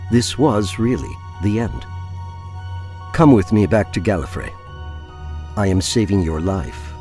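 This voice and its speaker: An actor playing a stern, ominous alien agent in an audio drama, speaking in a grave, measured, portentous manner. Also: male voice, low voice, very animated, mellow, soft background music, full character voice. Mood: grave warning.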